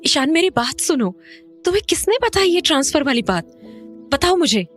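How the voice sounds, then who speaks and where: A woman acts as an anxious mother in a horror audio drama. Her high voice is urgent and fearful, pressing for answers, with soft music behind her. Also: very animated, normal speed. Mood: stern, alarmed. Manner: urgent, agitated. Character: anxious, fearful mother haunted by lost brother.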